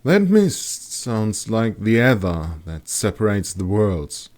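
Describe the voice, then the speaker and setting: A man voicing a thoughtful, grave woman explorer who muses in a measured way in an audiobook. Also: fairly low pitch, big pitch swings, a little mellow, voice pushed up. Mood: musing. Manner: musing, measured. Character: thoughtful woman in fantasy expedition, musing gravely.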